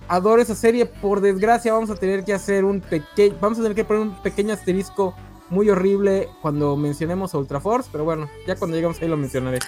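A man's voice: high-pitched, steady tone, quick pace, few pauses, a little mellow, faint music behind. A man with a Mexican Spanish accent hosting a casual live-streamed comic-book chat, rambling and correcting himself as he goes. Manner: rambling, restarts often, self-correcting. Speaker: podcast host.

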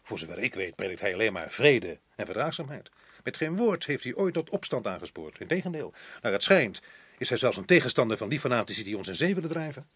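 An actor playing a stubborn, self-assured colonial official in a radio play, with a dismissive, opinionated manner. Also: male voice, medium pitch, big pitch swings, fast talker, a bit bright, husky, no room sound, heavily acted. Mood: argumentative, scornful. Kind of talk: radio drama acting in Dutch. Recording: noisy old radio play recording.

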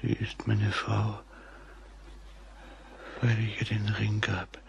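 An actor playing a drunken, bewitched bridegroom in a German radio play, husky and halting, theatrical and agitated. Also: on the low side, completely flat delivery, a little hiss or hum, exaggerated voice. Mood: desperate, frantic. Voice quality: husky, gravelly. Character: drunken, bewitched bridegroom, mocking then desperately frightened.

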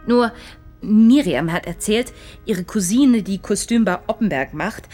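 A woman's voice: mid-range voice, lively intonation, measured pace, warm tone. An actress playing a flirtatious, chatty makeup artist in a radio play, with music behind her. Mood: gossiping.

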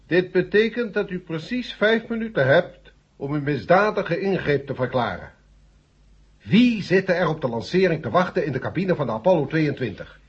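A man's voice: a little high, big pitch swings, moderate pace, husky, full character voice. An actor playing a stern, indignant space agency chairman in a 1970 radio drama, speaking formally and pompously. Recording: dull, muffled old radio recording.

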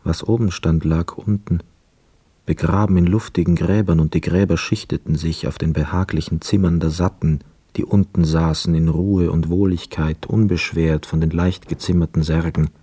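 An actor playing a brooding young hotel guest, narrating a literary radio play in a reflective, measured, sombre manner. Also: male voice, low-pitched, steady tone, dark tone, breathy edge, no room sound.

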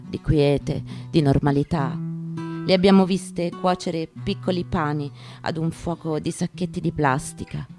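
A woman gives a dramatic, measured literary reading, breaking emphatic short phrases with deliberate pauses. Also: deep voice. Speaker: stage actress reading aloud. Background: acoustic guitar.

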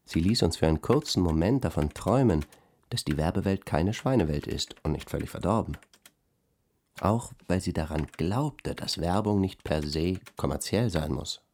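A man's voice: low-pitched, lively intonation, moderate pace, a little mellow, a little hiss or hum. An actor playing an earnest, jaded advertising man speaking solemnly and emphatically in a radio drama interview. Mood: solemn, reverent.

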